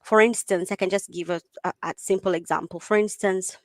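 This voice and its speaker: A woman whose first language is Swahili talks in a chatty, animated way and often laughs between thoughts. Her voice sits in a middle pitch for a woman. The sound is close and dry, with no room in it. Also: brisk, no room sound. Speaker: guest tech founder. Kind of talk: conversational interview answers. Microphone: video call.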